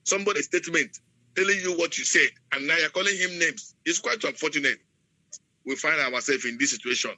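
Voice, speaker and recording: A man's voice: a little high, fairly quick, bright, forceful tone, no echo, poor audio. A man calling into a radio show and rambling indignantly. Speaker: radio call-in listener. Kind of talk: call-in opinion.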